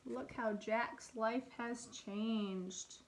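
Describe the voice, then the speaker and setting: A woman's voice: on the high side, fairly even intonation, very slow, low-quality recording. A woman speaking as an elementary teacher, reading and explaining expressively to young children with guiding questions. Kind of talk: read-aloud and lesson for young children.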